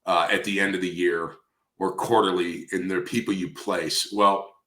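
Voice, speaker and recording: A man's voice: low-pitched, steady tone, moderate pace, pushed and bright. A man speaking conversationally in an industry interview, coaching emphatically and repeating key phrases for stress. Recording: roomy, echoey sound.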